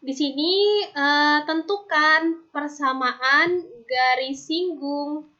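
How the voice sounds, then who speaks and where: A woman teacher from Indonesia explaining math step by step in a recorded online lesson, patiently slowing down on formulas. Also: high voice, pushed and bright, dull audio. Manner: patient, steps through formulas aloud, slows on terms.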